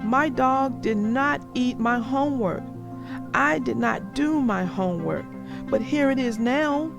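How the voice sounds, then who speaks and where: Lady doing a worried little schoolboy from a kids book, plaintive and earnest, higher voice, slow whiny pleading. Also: creaky, music in the background. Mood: earnest, apologetic.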